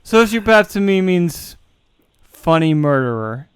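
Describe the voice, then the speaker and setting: A man's voice: high-pitched, very animated, measured pace, smooth, dry recording. A man co-hosting a podcast, riffing jokily in casual, slangy chat. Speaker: podcast co-host.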